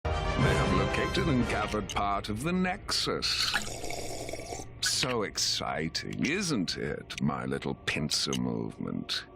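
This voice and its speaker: An actor playing a grandiose, gloating conqueror supervillain in a video game, theatrical and pompous. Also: male voice, low voice, huge pitch swings, takes it slowly, creaky, exaggerated voice. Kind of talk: animated video game acting. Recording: loud music behind.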